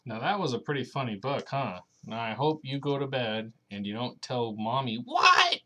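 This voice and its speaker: A man's voice: mid-range voice, normal speed. A young man reading a bedtime story aloud as a parent to his child, with casual asides.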